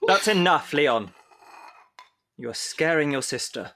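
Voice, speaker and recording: A man's voice: a little high, animated, unhurried, bright, forceful tone, a little hiss or hum, exaggerated voice. A man playing a protective, authoritative middle-aged Victorian father, firm and formal, in a period audio drama.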